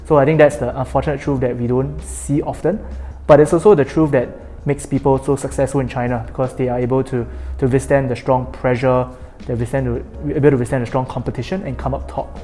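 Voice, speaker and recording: A man's voice: medium pitch, steady tone, a little fast, mellow, faint music behind. A man from Singapore speaking thoughtfully on a panel, with some hesitations. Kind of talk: panel talk.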